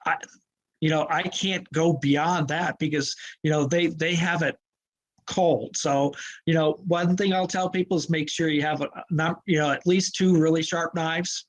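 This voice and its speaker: A man with a fairly high voice, chatting as a guest about hunting. He is easygoing and relaxed. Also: normal speed. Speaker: guest hunter. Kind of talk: casual chat.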